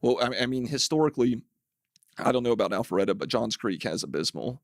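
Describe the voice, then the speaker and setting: Gravelly-voiced man, dry close sound. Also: mid-range voice, lively intonation, quick pace, dry recording. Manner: analytical, wry, hesitant with fillers. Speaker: city council member, guest. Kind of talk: casual interview chat.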